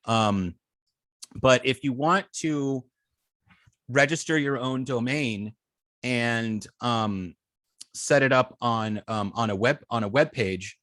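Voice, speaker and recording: A man teaching informally as an instructor, explaining in a halting, hesitant way with many filled pauses and false starts. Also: medium pitch, fairly bright tone, dry recording, studio quality. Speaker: web design instructor.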